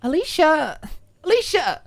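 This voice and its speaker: A woman's voice: sits high, big pitch swings, a little slow, no room sound, voice pushed up, full character voice. A woman voicing a sarcastic, slightly grumpy young college student in an audiobook. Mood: alarmed.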